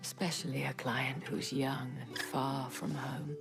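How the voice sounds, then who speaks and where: A composed madam of a courtesan house, with a low, breathy voice. She speaks in measured, formal phrases with quiet sorrow, as in a dramatic video game scene. Music plays prominently underneath. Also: level tone, full character voice. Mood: sorrowful.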